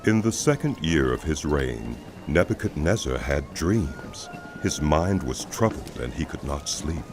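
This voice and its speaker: A man giving solemn, measured, scripted dramatic narration of scripture as a storyteller. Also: very deep voice, expressive, noisy background.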